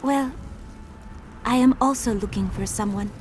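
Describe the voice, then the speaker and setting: A woman playing a calm mystical enchantress. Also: fairly high pitch, a little hiss or hum, close to an everyday voice. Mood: composed. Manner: measured, formal and foreboding. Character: mystical young female enchantress, calm and wise. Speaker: companion enchantress. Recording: game audio with loud music.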